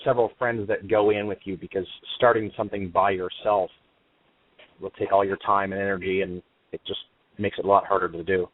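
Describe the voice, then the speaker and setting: Guest: a low-voiced man, measured and practical, on a dry phone line. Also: level tone, no room sound. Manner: measured, matter-of-fact advice. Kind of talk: phone interview.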